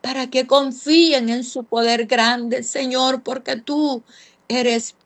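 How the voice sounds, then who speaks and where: A woman's voice: high-pitched, fairly even intonation, slow pace, no echo. A woman leading a fervent intercessory prayer, breaking it into short phrases punctuated by repeated calls to God. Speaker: prayer leader.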